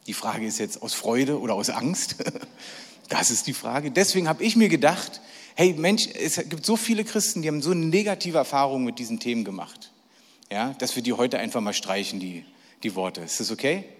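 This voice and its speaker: Jokey preacher in a reverberant hall. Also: male voice, mid-range voice, expressive, moderate pace. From Berlin, Germany. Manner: chatty, jokey, asks the audience questions. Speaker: preacher at a church event. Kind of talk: lively sermon to a live audience.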